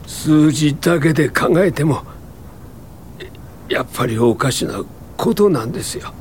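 An actor playing a sickly, earnest tuberculosis patient in a radio drama, plain-spoken, halting and short of breath. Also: male voice, on the high side, expressive, a little hiss or hum. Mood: indignant. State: ill, coughing, breathless.

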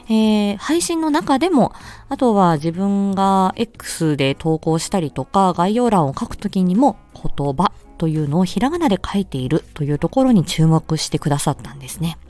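Polite, mellow woman with lively intonation. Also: lowish voice. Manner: polite, explanatory. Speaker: streamer and speech-language therapist. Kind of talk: casual solo chat answering a listener's letter.

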